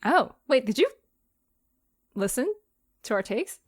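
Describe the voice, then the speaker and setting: A woman's voice: sits high, big pitch swings, slow pace, husky, dry recording, clean studio sound. A woman hosting a casual podcast chat, giggling often as she talks. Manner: giggly, laughs often while talking. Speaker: podcast host and creator.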